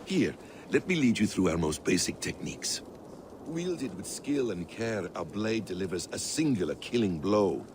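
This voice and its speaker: An actor playing a calm, wise master assassin mentor in a video game, speaking in a formal, measured, instructive way. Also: male voice, fairly low pitch, noisy background. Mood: coaching. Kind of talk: video game cutscene acting.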